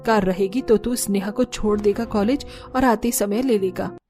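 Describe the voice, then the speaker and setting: A woman voicing a gentle, self-sacrificing older mother-in-law in a cartoon family story. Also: medium pitch, normal speed, over music, lightly acted. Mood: gentle, sad. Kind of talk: Hindi cartoon story dialogue.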